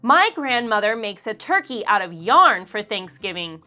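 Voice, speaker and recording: A woman's voice: mid-range voice, sing-song, moderate pace, bright, forceful tone, soft background music. A woman voicing an earnest young schoolboy in a storybook, explaining things.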